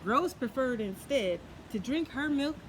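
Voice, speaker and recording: A woman reading a children's tall tale aloud in an expressive, folksy way, adding her own asides. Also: high-pitched, measured pace, a little hiss or hum, rough recording. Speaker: storyteller. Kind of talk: children's story read-aloud.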